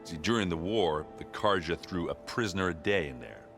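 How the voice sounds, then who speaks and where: An actor playing a hearty, boisterous warrior who tells stories in a folksy, bashful, chuckling way in a video game. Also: male voice, deep voice, lively intonation, moderate pace, over music. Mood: relaxed, wry. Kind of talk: video game cutscene acting.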